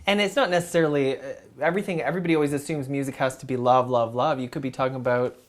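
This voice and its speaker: A man's voice: mid-range voice, steady tone, very fast. A man hosting an interview, asking playful, teasing questions in a casual chat. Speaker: interview host.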